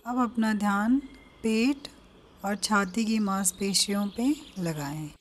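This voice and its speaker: A woman with an Indian accent leading a guided meditation, giving calm, gentle instructions with long pauses between them. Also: medium pitch, slight background noise.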